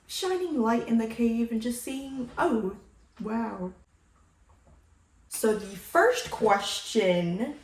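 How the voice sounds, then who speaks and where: Slow-talking young-sounding higher-pitched woman, slightly raspy. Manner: slangy, playful, peppered with swearing and emphatic catchphrases. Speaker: vlogger hosting a sip-and-chat video. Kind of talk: casual rambling chat to viewers. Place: indoors at home. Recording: roomy, echoey home sound.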